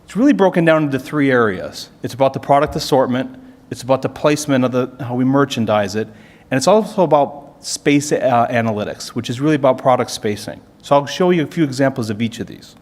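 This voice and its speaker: A man's voice: medium pitch, animated, brisk, a little mellow. A man giving an explanatory business conference presentation, posing questions and then answering them as a corporate presenter. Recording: reverberant hall sound.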